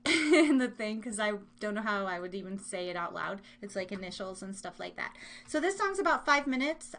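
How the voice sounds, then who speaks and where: A woman with a high voice and an American accent hosts a casual livestream. She rambles informally to her listeners, dropping playful slang as she goes. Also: a bit bright. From Kansas, United States. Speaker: livestream and podcast host. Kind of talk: casual live chat with listeners. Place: her room at home.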